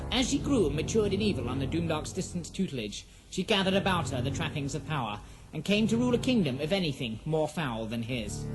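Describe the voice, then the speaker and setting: A man's voice: fairly high pitch, rough recording. A man narrating a scripted fantasy audio drama in a solemn, literary storytelling manner.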